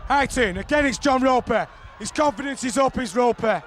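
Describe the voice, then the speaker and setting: A man giving excited play-by-play commentary on a rugby league match, often shouting over the action. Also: very high voice, moderate pace. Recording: archive broadcast with crowd noise.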